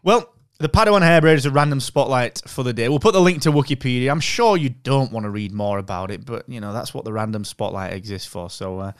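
A man's voice: medium pitch, fast talker, few pauses, smooth, dry recording, studio quality. A man co-hosting a podcast in a casual, chummy, jokey way. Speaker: podcast co-host. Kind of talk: casual chat.

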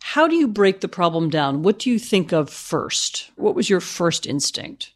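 A woman interviewer asking probing, quick follow-up questions. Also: lowish voice, sing-song.

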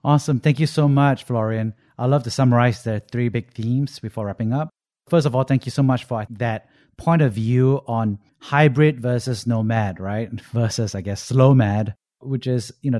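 A man's voice: lowish voice, mellow, no echo, clean studio sound. A man hosting a podcast, upbeat as he asks questions and sums up the conversation. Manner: upbeat, summarizing and thanking. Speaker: podcast host.

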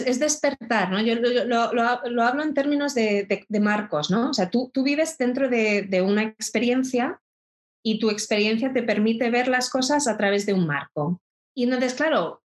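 Woman explaining thoughtfully. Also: fairly high pitch. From Spain. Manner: thoughtful, explanatory, frequent restarts. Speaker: intercultural educator. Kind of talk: reflective interview talk.

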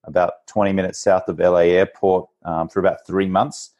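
Deep-voiced Australian man, chatty podcast guest. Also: flat delivery, moderate pace, darkish tone. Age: 40s. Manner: chatty, informal. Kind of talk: casual interview storytelling.

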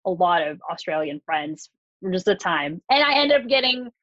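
A woman's voice: lowish voice, sing-song, normal speed, no room sound. Woman from the Philippines, chatty and full of fillers, on a dry, slightly dull mic. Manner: chatty, many fillers and restarts. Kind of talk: casual conversation.

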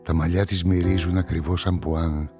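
An actor as a deep-voiced, brooding male narrator. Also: little pitch movement, over music, close to an everyday voice. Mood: wistful. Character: brooding, solitary male newspaperman narrating a murder story. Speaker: narrator and protagonist of a radio play. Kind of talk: literary first-person radio drama narration.

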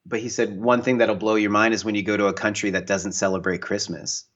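A low-pitched man from the US Northwest chats as an interview guest. He tells loose, animated stories full of "like" and "you know" and acts out the voices of people he quotes. The sound is muffled and lo-fi. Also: a little fast. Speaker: guest author and painter. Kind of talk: casual conversational interview.

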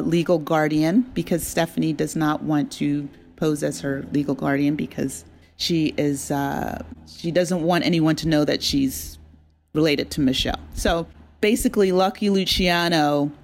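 A woman who writes audio drama, informally explaining the setup of a scene. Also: deep voice.

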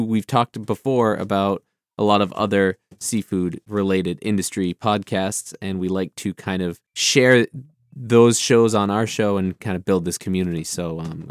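A man hosting a podcast, chatting in a relaxed way with many fillers. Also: on the low side, no room sound, studio quality. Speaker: podcast host. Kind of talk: casual podcast interview.

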